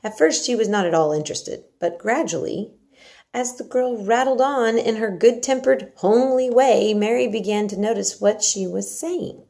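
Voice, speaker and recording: A woman narrates a storybook aloud. Her voice sits at a middle pitch, soft-edged and mellow, with a noticeable creak as she reads the scripted prose. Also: normal speed. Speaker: story reader.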